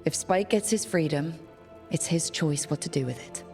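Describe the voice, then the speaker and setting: Low-voiced, supportive adventurer leader. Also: female voice, moderate pace, faint music behind. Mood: reassuring. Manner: reassuring, gently probing. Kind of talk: video game cutscene acting.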